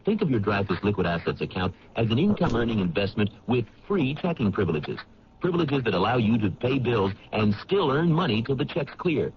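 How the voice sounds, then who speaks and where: Man, radio ad announcer, smooth sales pitch, dull lo-fi recording. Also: sing-song, brisk. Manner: smooth, persuasive sales delivery. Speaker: commercial announcer. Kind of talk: 1970s radio advertisement. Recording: dull lo-fi radio with clear hiss.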